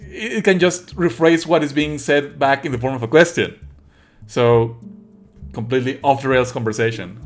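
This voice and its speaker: A man's voice: medium pitch, normal speed, soft background music. A man whose native language is Spanish, giving sardonic, exasperated live commentary as he streams a game. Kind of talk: live let's play commentary.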